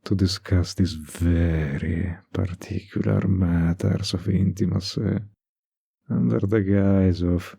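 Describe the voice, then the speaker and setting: A man playing a suave, manipulative villain in a flirty roleplay audio, with a breathy, gravelly voice and a slow, teasingly polite, condescending manner. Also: low voice, fairly even intonation, dark tone, no echo, clean studio sound, exaggerated voice. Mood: sly, musing.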